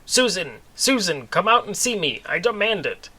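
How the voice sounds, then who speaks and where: An odd, eager alien scientist from a comic space tale, acted out by a man. He declares things with grand earnestness in a bright voice. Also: high-pitched, big pitch swings, normal speed, husky, pitched above the performer's own voice, full character voice, rough recording. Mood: demanding, shouting. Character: red long-limbed alien scientist, eccentric, eager, demanding.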